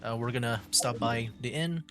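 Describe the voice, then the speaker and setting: A man's voice: mid-range voice, moderate pace, fairly bright tone, faint music behind. Male streamer, casual game commentary, chatty, laughs a lot.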